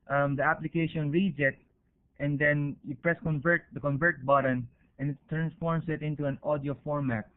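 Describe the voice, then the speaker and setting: A man's voice: fairly high pitch, phone-quality sound. A man with a Filipino accent giving an informal academic presentation over an online call, explaining step by step in a hesitant way and checking in as he goes. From Philippines. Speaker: university researcher presenting.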